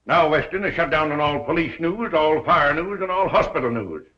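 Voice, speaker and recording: A fed up sarcastic newspaper boss, sharp and scolding, dull old 30s film audio. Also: male voice, a little high, lively intonation, moderate pace. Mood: exasperated, sarcastic. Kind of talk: 1930s Hollywood film acting. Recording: dull 1930s film soundtrack.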